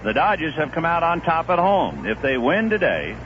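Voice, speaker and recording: A man calling a baseball game on the radio, chatting between pitches and reeling off statistics. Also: normal speed. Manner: lively, conversational, reeling off statistics. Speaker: play-by-play announcer. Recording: muffled 1970s radio broadcast with hiss.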